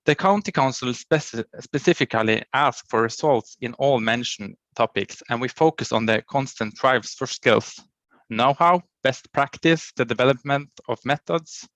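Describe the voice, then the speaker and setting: A man, a county education official reading a prepared conference presentation in a formal, halting way and often cutting off and restarting words. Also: mid-range voice, fairly bright tone, no echo.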